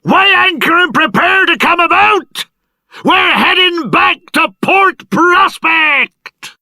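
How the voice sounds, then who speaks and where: An actor playing a commanding ship's captain in a video game, bellowing orders. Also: male voice, animated, slow, no echo, full character voice. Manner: booming, shouted orders. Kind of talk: video game character acting.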